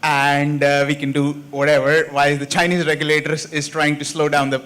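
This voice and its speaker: A man moderates a panel, restating the panelists' points back as quick questions. He talks fast in a flat, higher-pitched voice. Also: smooth. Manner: summarizing, probing questions. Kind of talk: panel discussion.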